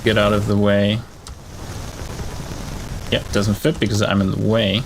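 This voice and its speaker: Low, creaky-voiced male game streamer. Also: level tone, unhurried, background noise. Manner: thinking aloud while playing. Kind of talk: casual live gameplay commentary.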